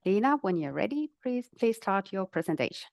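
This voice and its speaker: Woman moderator on a webinar, polite n formal, medium pitch, really dry close mic. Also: big pitch swings, brisk, a little mellow, dry recording. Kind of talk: semi-scripted speaker introductions.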